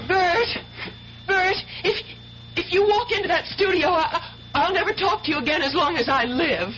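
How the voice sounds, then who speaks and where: An actress playing an anxious, defensive newlywed younger sister in a 1970s radio drama, flustered, emotional and pleading. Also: female voice, very high voice, moderate pace, pushed and bright. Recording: muffled 1970s radio broadcast, faint hiss.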